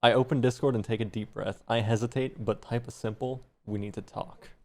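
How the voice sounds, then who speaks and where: A man's voice: medium pitch, steady tone, fairly quick. A man streaming, reading fan fiction aloud with some embarrassed amusement.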